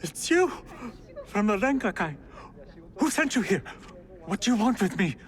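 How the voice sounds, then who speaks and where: An actor playing a panicky, hapless husband in a video game drama, flustered, whiny and stammering. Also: male voice, high-pitched, sing-song, moderate pace. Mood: panicked, defensive. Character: panicky, cowardly, hapless husband fleeing trouble. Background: background music.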